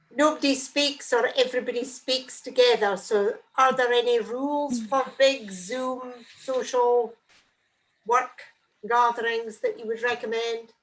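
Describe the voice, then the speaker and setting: A woman's voice: a little high, rough recording. A woman asking the presenter a question during a video call, in a casual chatty way. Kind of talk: casual chat.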